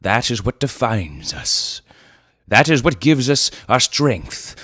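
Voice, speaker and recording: A man's voice: medium pitch, sing-song, slow, fairly bright tone, breathy edge, no echo, clean studio sound, pitched above the performer's own voice, full character voice. A man voicing a wise old fellowship leader, lordly, formal and earnest, in a comic fantasy story. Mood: grave, stern. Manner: formal, earnest, mock-noble.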